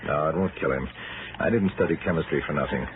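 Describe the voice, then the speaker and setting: An actor playing a cold, self-assured criminal chemist in a 1960s radio crime drama, speaking with calm, smug menace. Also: male voice, a bass voice, fast. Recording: noisy vintage radio, narrow band.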